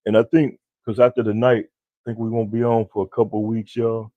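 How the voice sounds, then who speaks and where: A man co-hosting a podcast with his wife, with a deep voice, chatting casually and talking straight to listeners. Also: little pitch movement, fairly quick, no room sound, narrow, tinny audio. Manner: laid-back, talks straight to listeners as y'all. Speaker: podcast co-host.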